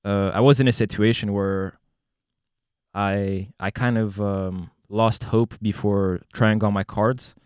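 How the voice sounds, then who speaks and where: A young man hosting a podcast in a relaxed, casual chat, laughing often and pausing on fillers. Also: lowish voice, clear, resonant tone, no echo, clean studio sound. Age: young adult. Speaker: podcast host. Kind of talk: casual conversation.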